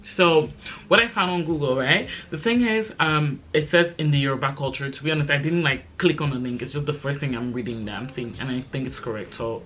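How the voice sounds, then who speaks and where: A woman's voice: deep voice, fairly quick, a bit bright. Chatty rambling young woman vlogger. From Belgium. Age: 20s. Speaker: YouTuber. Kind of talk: casual chit-chat vlog.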